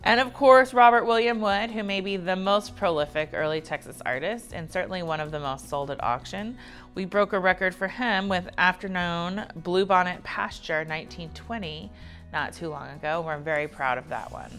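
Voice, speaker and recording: A woman's voice: lowish voice, moderate pace, fairly bright tone. An American woman in her thirties giving a polished, conversational promotional talk to camera as an art director. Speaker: auction house art director. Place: indoor office-like studio set. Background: background music.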